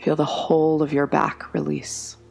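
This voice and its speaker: A woman guiding a yoga nidra meditation in a slow, soft, soothing way, with long pauses between phrases. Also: deep voice, monotone, gravelly, breathy edge, faint music behind. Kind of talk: guided meditation.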